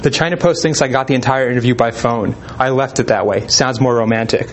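A man of ordinary pitch gives an informal talk, reading old letters aloud to his listeners. He speaks in a relaxed, unhurried way, and his reading is broken by ums and small restarts. A faint hiss sits behind his voice. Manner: relaxed, hesitant with frequent ums. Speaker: presenter.

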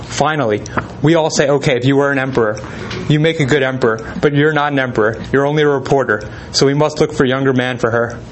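Man, informal talk, reads letters aloud, relaxed, ums and pauses, mid-pitched voice, faint background hiss. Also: poor audio. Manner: relaxed, hesitant with frequent ums. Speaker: presenter.

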